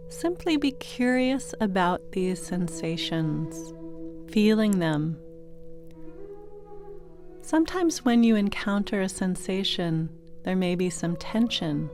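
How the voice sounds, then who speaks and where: A woman with a fairly low voice leads a guided body-relaxation meditation for sleep. She speaks very slowly and soothingly, leaving space between short, gentle instructions. Soft meditation music with singing bowl tones plays behind her.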